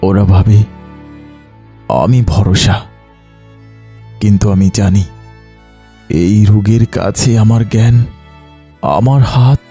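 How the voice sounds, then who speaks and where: A man voicing a weary, despairing village doctor in an animated horror story, speaking in a heavy, self-questioning way. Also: lowish voice, takes it slowly, long pauses, faint music behind.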